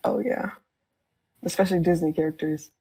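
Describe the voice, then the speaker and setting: A woman's voice: on the low side, moderate pace, gravelly, dark tone, husky. A woman speaking as a podcast guest, explaining things in a casual, conversational style. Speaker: podcast guest, fan fiction writer.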